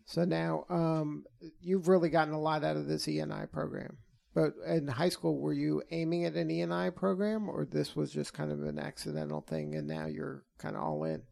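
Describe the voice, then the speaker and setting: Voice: medium pitch, flat delivery, normal speed, creaky, darkish tone. An interviewer asking curious, chatty questions and laughing often.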